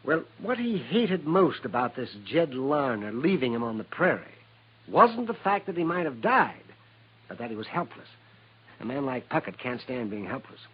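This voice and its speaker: An actor playing a folksy, crusty frontier town doctor who mutters asides in a 1950s radio western. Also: male voice, mid-range voice, big pitch swings, normal speed, slightly rough. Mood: matter-of-fact. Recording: dull old 1950s radio recording.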